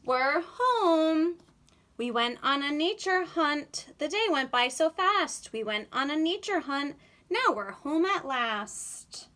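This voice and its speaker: A woman reading a picture book aloud to young children in an animated, sing-song, expressive way. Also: high voice, takes it slowly.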